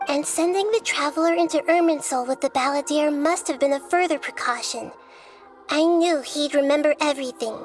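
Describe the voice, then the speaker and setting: A woman voicing a small, young, wise and scholarly girl deity in a video game, calm, measured and articulate. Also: sits high, lightly acted. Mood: explaining. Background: faint background music.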